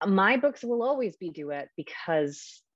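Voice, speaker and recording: A woman's voice: medium pitch, very animated, a little slow, no echo, highs cut off. A woman talking casually in an interview about casting audiobook narrators, thinking aloud with fillers.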